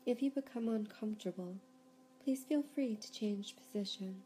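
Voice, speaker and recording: A woman guiding a yoga nidra relaxation, giving calm, unhurried, soothing instructions with pauses. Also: medium pitch, a little hiss or hum. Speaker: yoga nidra meditation guide. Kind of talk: guided relaxation meditation, slow instructions.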